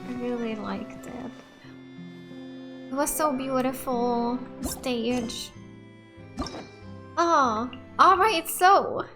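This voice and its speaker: High-voiced woman, bright, excitable gamer. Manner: excitable, repeats short exclamations. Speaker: gaming let's play host. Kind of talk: casual live gameplay reaction commentary. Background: game music.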